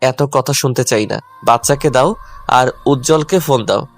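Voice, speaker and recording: A man's voice: medium pitch, moderate pace, lightly acted. A man playing a hurt, angry, stubborn young husband in a dramatised audio love story. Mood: accusing. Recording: music under the voice.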